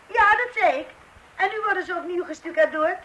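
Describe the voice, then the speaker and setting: Radio drama housewife: very high voice, polite, flustered, hesitant delivery. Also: female voice, a little fast, pushed and bright. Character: flustered, polite housewife, wife of a clumsy do-it-yourselfer. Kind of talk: radio play acting. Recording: old radio play recording.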